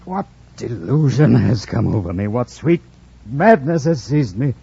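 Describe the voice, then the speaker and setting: An actor playing an anguished, passionate blind master in a 1940s radio drama, with halting, fervent delivery. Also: male voice, mid-range voice, animated, gravelly, dark tone, breathy edge, exaggerated voice. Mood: bewildered longing. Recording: dull vintage radio recording.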